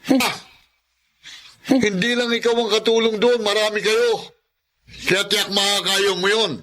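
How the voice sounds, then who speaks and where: Casting: Filipino bullying stepfather, greedy and menacing, high forceful voice, radio drama. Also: little pitch movement, a little slow. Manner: menacing, badgering with sharp repeated questions. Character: abusive, lazy, money-grubbing bullying stepfather.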